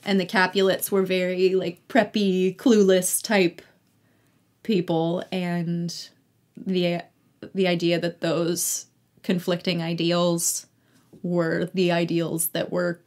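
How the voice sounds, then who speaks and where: A woman's voice: lowish voice, completely flat delivery, slow pace, darkish tone. A young woman chatting casually as a guest in an interview. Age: young adult. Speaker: interview guest, actor and singer. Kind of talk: casual chat.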